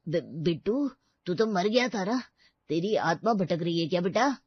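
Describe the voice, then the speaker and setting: A woman voicing excitable, sentimental male relatives with melodramatic delivery in a comic family story. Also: lowish voice, normal speed, slightly rough, no echo, muffled recording. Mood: bewildered.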